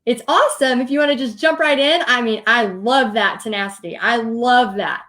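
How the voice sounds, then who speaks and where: High-pitched Texan woman coaching. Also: moderate pace. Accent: Texas. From Texas, United States. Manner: chatty, animated storytelling with asides. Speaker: host and paint party teacher. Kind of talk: informal online business coaching talk.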